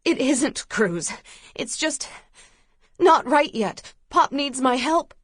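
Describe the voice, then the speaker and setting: A woman's voice: high voice, lively intonation, takes it slowly, husky, dry recording, clean studio sound, full character voice. Woman voicing an anxious young woman, pleading.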